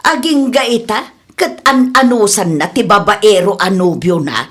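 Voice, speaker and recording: A woman playing a gossipy, sympathetic middle-aged mother in an amateur audio drama, expressive, with an Ilocano accent. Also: mid-range voice. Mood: concerned. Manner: unhurried, expressive.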